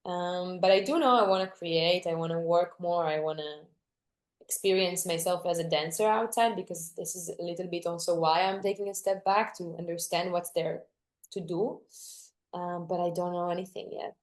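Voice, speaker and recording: A woman's voice: on the low side, steady tone, moderate pace. A young woman from Greece, a dancer, talking reflectively in an interview, often restarting and searching for words. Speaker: guest dancer.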